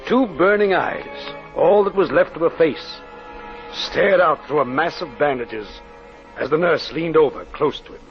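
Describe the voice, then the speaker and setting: An actor playing an earnest, seasoned criminal lawyer, telling a strange case in dramatic 1940s radio style. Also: male voice, a little high, soft background music, natural voice. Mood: grave, suspenseful. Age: middle-aged. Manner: dramatic, measured storytelling. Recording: muffled vintage radio recording.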